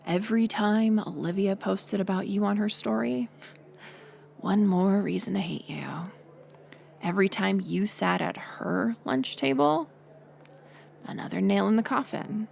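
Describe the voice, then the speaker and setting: A woman playing a bitter, hurt college-age former friend turned bully, confessing in a halting, intimate audio drama monologue. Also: medium pitch, a little mellow. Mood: guilty, bitter confession. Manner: halting confessional delivery, drifting into breathy near-whispers.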